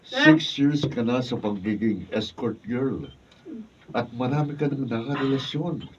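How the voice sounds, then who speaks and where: A man's voice: medium pitch, sing-song, a little slow, slightly rough. An actor playing a concerned, old-fashioned male friend who pleads earnestly, in a Filipino radio drama. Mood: earnest, pleading. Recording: dull, distant room recording, faint noise.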